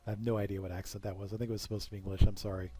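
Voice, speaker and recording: A young man talks his way through a strategy game in a mellow, relaxed voice, close to a dry desk microphone. He rambles from point to point and drops self-deprecating jokes along the way. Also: lowish voice, very fast, breathy edge, dry recording, soft background music. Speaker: let's play commentator. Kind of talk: casual game commentary.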